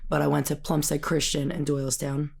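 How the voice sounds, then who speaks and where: Gravelly American woman in her thirties. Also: low-pitched, little pitch movement, a little fast. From Bucks County, Pennsylvania, United States. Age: 30s. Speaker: podcast guest, fitness and gymnastics coach. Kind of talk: casual interview chat.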